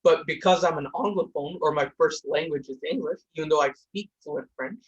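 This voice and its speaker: A man's voice: a little high, breathy edge, highs cut off. A young man hosting a podcast from a room at home, talking heatedly with many restarts. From India. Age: young adult. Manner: heated, talks fast with restarts. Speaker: podcast host. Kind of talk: casual discussion.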